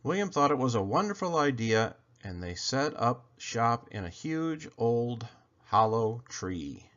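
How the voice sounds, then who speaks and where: A man's voice: medium pitch, very animated, measured pace, a bit bright, dull audio. A man reading a children's story aloud expressively, leaning dramatically on key words. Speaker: storybook reader.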